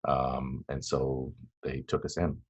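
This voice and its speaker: A man in his fifties, originally from Tanzania, speaking as a podcast guest in a halting, reflective way with many fillers and restarts. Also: rumbling low, completely flat delivery, breathy edge. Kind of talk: casual interview chat.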